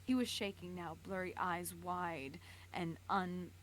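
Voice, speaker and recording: Low-pitched woman reading fiction with noise behind. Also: fairly even intonation, slow pace. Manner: stumbles over words and rereads. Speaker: live stream reader. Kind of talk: dramatic fan fiction narration with casual asides. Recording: clear background noise.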